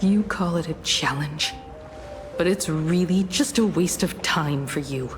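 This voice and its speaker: A woman voicing a resolute, stern heroine in a video game, speaking in a firm, measured, confrontational way. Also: low-pitched. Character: resolute, stern heroine, a ship's navigator facing down an enemy. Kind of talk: dramatic video game voice acting. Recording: loud game music behind. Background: game soundtrack music.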